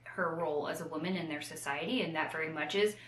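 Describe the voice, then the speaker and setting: A woman's voice: sits low, quick pace, echoey room. A woman in casual, unscripted chat as co-host of a book show, rambling and self-deprecating, often slipping into acted-out quotes.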